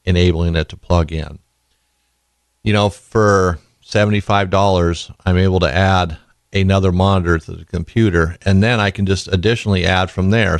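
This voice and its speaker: Deep hoarse guy rambling on his podcast, gravelly, super dry sound. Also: no echo. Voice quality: raspy. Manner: chatty, rambling, with self-corrections. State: hoarse from illness. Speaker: podcast host. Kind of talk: casual product talk.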